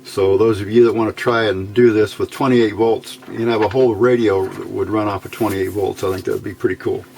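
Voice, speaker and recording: Halting man explaining over background noise. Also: on the low side, fairly even intonation, gravelly, darkish tone. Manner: halting, thinking aloud. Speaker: hobbyist radio builder giving a tutorial. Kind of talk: casual unscripted technical explanation. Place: indoors at a worktable. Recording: noisy amateur home recording.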